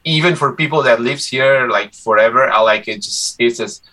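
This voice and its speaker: A man in his forties from Brazil whose first language is Portuguese, talking casually as an interview guest about his life as a musician. He is relaxed and chatty, restarts his sentences often and laughs easily. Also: medium pitch, normal speed.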